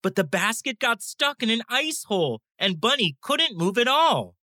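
A woman narrating a children's bedtime story in an expressive storytelling manner. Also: on the high side, normal speed, dry recording, studio quality.

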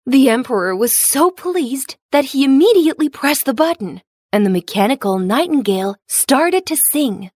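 A woman's voice: sits high, lively intonation, moderate pace, hardly any pauses, darkish tone, no room sound. Woman narrating a fairy tale, close and dry. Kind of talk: scripted children's storybook narration.

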